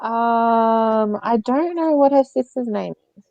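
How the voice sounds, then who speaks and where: A woman's voice: fairly high pitch, takes it slowly, creaky, darkish tone, no echo, dull audio. A woman co-hosting a book discussion in a giggly, informal, conversational way. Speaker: book podcast co-host. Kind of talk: casual book discussion chat.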